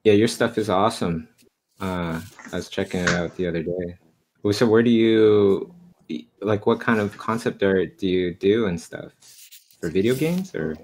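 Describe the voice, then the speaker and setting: A man's voice: sits low, moderate pace, darkish tone, a little hiss or hum. A man hosting a casual art livestream, asking guests friendly questions. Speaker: host.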